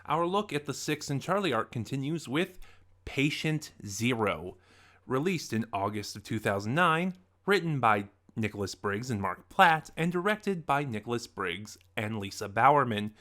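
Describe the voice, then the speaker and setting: A man hosting an unscripted review channel, talking in a chatty, animated way with rambling asides. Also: mid-range voice, normal speed. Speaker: podcast reviewer.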